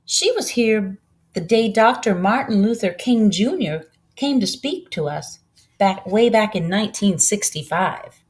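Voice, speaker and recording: A woman voicing a kindly, proud old Southern farm woman who tells her story in a folksy, unhurried way for a storybook. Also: mid-range voice, expressive, pitched above the performer's own voice, lightly acted. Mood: proud storytelling.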